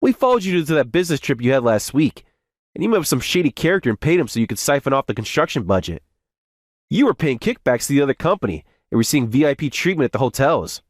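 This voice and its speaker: Lively, earnest young office guy voiced by a man. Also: medium pitch, fast, no echo, studio quality. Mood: confident, firm. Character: earnest, modest young male office worker narrating his story. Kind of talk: scripted first-person manga recap narration and dialogue.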